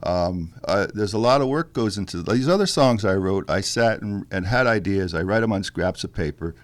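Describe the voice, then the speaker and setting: A male musician with a deep bass-baritone voice, talking in a halting, thoughtful way with fillers and restarts in a casual interview. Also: lively intonation. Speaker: musician guest.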